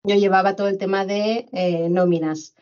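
A middle-aged woman from Madrid talks as a podcast guest. Her pitch is mid-range and her manner measured, with frequent fillers and repetitions. The recording is slightly dull and lo-fi. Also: fairly even intonation, a little mellow. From Spain, Madrid. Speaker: guest, HR director. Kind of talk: conversational interview.

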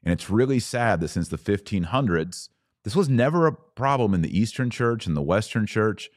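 Deep-voiced man teaching scripture. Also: animated, moderate pace, dry recording, clean studio sound. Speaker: podcast host. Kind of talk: religious teaching podcast, explaining scripture.

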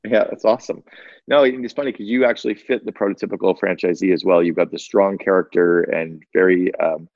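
A man in his fifties hosting a podcast interview, upbeat and talkative, quick to agree with his guest. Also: sits low, steady tone, darkish tone, dry recording, highs cut off. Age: 50s. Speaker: podcast host. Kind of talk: casual interview chat.

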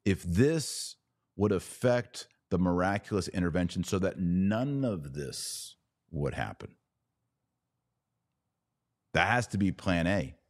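Man, American accent, deep voice, dry close sound, emphatic podcast commentary, repeats phrases for stress. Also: animated, takes it slowly, pauses often, no room sound. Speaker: podcast host and religious commentator. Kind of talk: live opinion commentary, speaking to viewers.

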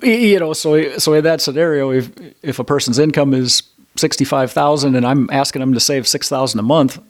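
A man who is a financial advisor, a guest on a podcast, speaking bluntly and plainly with frequent restarts and slowing down to stress key points. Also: mid-range voice. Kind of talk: conversational interview answers.

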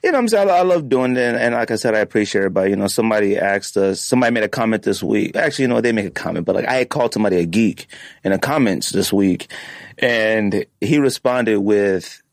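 A man's voice: on the low side, lively intonation, fast, gravelly, dry recording. An American man hosting a podcast in casual, rambling chat, often checking in with the listener as he goes. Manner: rambling and conversational, with frequent "you know". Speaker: podcast host. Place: podcast studio.

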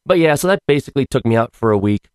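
Hoarse man rambling through a podcast. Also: mid-range voice, expressive, rapid-fire, darkish tone, dry recording, clean studio sound. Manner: rambling, wry asides. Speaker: podcast host. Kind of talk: casual solo podcast chat.

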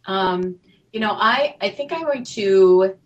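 Woman writer on a panel, slow thoughtful talk, medium pitch. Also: dull audio. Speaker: audio fiction writer, panel guest.

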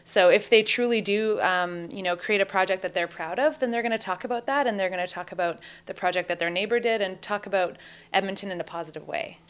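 A woman's voice: medium pitch, fast, smooth. A woman talking casually as a guest in an interview.

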